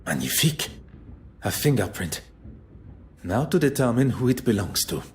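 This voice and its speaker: An actor playing a formal, courteous foreign detective with a French-speaking Belgian accent in a video game, precise and probing. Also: male voice, fairly low pitch, big pitch swings, moderate pace, a little mellow, a little hiss or hum. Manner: formal, precise, polite phrasing.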